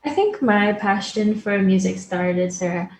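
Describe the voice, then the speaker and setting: A woman in her twenties from Brunei, a singer answering interview questions over a video call, polite and soft-spoken. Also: mid-range voice, unhurried, reverberant, soft background music. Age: 20s. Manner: polite, soft-spoken, addresses host as sir. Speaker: guest singer. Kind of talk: remote interview, casual.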